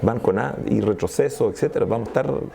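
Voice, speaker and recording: A man's voice: medium pitch, fast, creaky, over a noisy crowd. A Chilean man speaking as a sociologist guest in an interview, talking in a discursive, analytical way and often restarting his sentences. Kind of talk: interview conversation.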